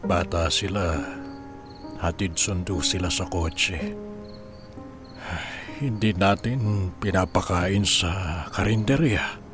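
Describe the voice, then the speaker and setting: An actor playing a guilt-ridden, ailing Filipino father in a radio drama, halting and heavy-hearted. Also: deep voice. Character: guilt-ridden, ailing father grieving his family's hardship. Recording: music behind the voice.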